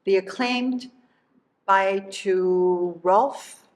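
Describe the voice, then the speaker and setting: A woman's voice: medium pitch, very slow. A woman hosting a lecture who reads a formal prepared introduction and slips into fillers when she goes off script. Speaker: faculty host introducing a speaker. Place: lecture hall.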